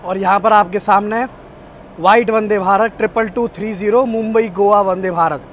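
A young man with a fairly high voice, talking eagerly and straight to his viewers. He films himself on a phone held at arm's length. Faint railway noise sits in the background. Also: normal speed. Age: young adult. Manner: excited, chatty, addresses viewers directly. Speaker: train travel vlogger. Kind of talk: casual travel vlog. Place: train carriage and outdoor station platform.

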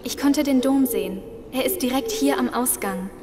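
A woman's voice: high voice, moderate pace, lightly acted. An actress playing a cautious young female survivor in an audio drama. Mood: worried. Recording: reverberant hall, clear background noise.

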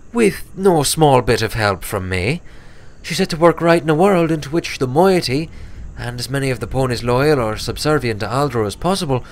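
Grave, determined pony filly, read by a man, storybook tale, wordy and precise, animated intonation, noisy recording. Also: mid-range voice, close to an everyday voice. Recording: clear background noise.

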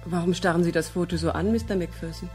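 An actress playing an anxious, insistent young client in a 1970s German radio crime drama, speaking in a serious, clipped way. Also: female voice, lowish voice, fast, a little mellow, soft background music, lightly acted. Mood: guarded, uneasy. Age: young adult.

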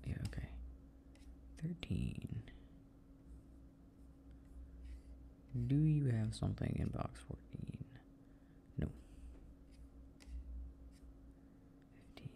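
A man playing a young boyfriend working at his computer, talking quietly in an audio roleplay. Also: unhurried, creaky, in a whisper. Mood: muttering focused. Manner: low muttering while focused on a task; relaxed, friendly chat with a partner.

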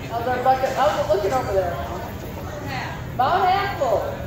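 A woman calls a fair contest play-by-play, upbeat and quick with countdowns. Her high voice reaches the mic from far off, bouncing around a covered arena. A busy crowd and loud ambient noise fill the background. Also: very animated. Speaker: event announcer. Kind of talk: live event commentary. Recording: echoey PA sound from afar, crowd noise.

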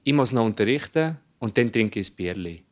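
A man's voice: lowish voice, animated, a little fast. A man in his thirties with a Chur Swiss German accent, a teacher giving short plain phrases. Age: 30s. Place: indoor studio.

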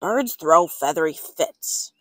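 Woman as an unyielding, lower-voiced storybook parent. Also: animated, slow, no echo, exaggerated voice. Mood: firm objection. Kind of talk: storybook read-aloud.

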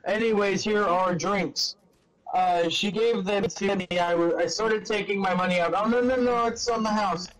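A man doing a mocking dramatic reading of fan fiction, halting and stumbling over words, with casual sweary asides. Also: high-pitched, a crowd in the background, rough recording.

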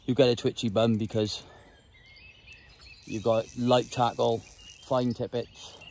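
A man's voice: medium pitch, little pitch movement, slow pace, a little mellow. A man in his thirties giving informal, chatty fishing commentary in short bursts, recorded outdoors. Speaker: angler presenting a fishing guide. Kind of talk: casual vlog commentary while fishing. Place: outdoors on a wooded lake bank. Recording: outdoor recording with clear nature noise.

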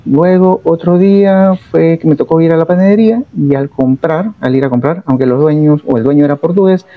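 A Peruvian man in his thirties telling a personal travel story straight to the camera, reflective and steady, often adding little tag questions. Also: on the high side, brisk, no echo, phone-quality sound. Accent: Peruvian Spanish. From Peru. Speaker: vlogger.

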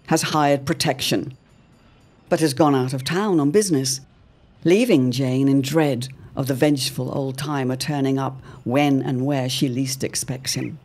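Highish-voiced theatrical male serial narrator. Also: darkish tone. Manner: theatrical, portentous, deliberate phrasing. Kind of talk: dramatic scripted narration, old-time serial.

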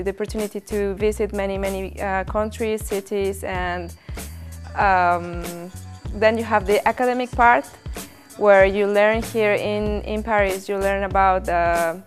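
Enthusiastic young woman. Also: mid-range voice. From Mexico. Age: young adult. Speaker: master's student. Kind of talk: testimonial interview.

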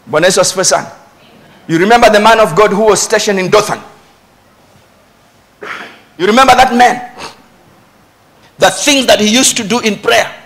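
A man's voice: sits high, fairly bright tone. A middle-aged man with a Kenyan accent preaching a church sermon, emphatically repeating key phrases, on a handheld microphone. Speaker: pastor. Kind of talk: animated church sermon with Swahili asides. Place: church stage before a congregation.

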